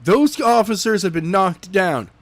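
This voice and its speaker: A man's voice: sits high, expressive, a little slow, dry recording. A man co-hosting a podcast, discussing a story casually in a playful, slangy way. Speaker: podcast co-host and chapter narrator. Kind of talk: casual, joking book discussion.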